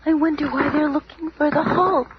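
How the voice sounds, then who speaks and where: An actress playing a gentle, anxious, devoted young sweetheart in a 1970s radio drama. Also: female voice, sits high, steady tone, moderate pace, close to an everyday voice. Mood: worried. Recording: noisy lo-fi 1970s radio recording.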